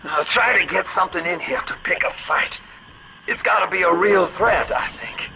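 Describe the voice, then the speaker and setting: A man's voice: high voice, moderate pace, creaky, noisy background. An actor playing a slick, world-weary older businessman in a video game, with a folksy, familiar drawl. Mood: pained, resigned.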